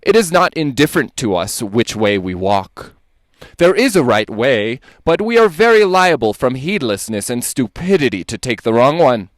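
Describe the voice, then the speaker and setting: Male dramatic reader, medium pitch, period-lecture oratory, deliberate and emphatic, very dry sound. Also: sing-song, no room sound. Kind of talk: dramatic reading of a 19th-century lecture.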